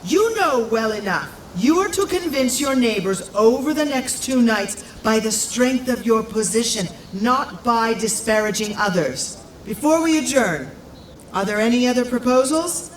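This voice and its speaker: Elderly town speaker, echoing room. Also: female voice, fairly high pitch, animated, slight background noise. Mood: formal, weary. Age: older. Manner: measured, formal, dry. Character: elderly, composed, firm town speaker chairing a meeting. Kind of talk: audio drama acting.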